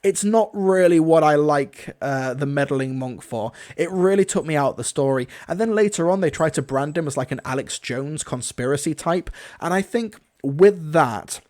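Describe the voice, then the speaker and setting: A man's voice: fairly high pitch, expressive, moderate pace, few pauses, a little mellow. Casual man reviewing, emphatic and conversational.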